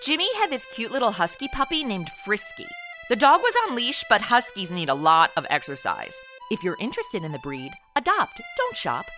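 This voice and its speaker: Animated, sarcastic woman storyteller. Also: mid-range voice, normal speed, over music. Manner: sarcastic, cheeky. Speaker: comedy podcast host and narrator. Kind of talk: conversational comedic narration and acting.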